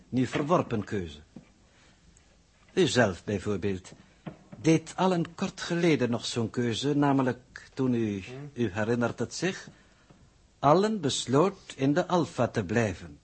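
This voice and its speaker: A man playing a calm, pedantic scientific coordinator who lectures formally and condescendingly in a 1960s radio drama. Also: medium pitch, a little hiss or hum, natural voice. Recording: muffled-edged vintage 1960s radio recording.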